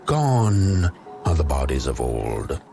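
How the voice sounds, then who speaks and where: An actor playing an ominous storyteller, narrating a dark fantasy game like a grim storybook in a theatrical, drawn-out way. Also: male voice, extremely low pitch, big pitch swings, creaky, over music, full character voice.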